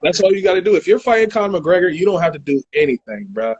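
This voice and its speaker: A husky, slightly high-pitched man in his thirties chatting casually as a co-host. He talks in a laid-back, slangy way, throwing in words like dog and bruh. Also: very animated, fast, darkish tone, dull audio. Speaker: podcast co-host. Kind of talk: casual chat.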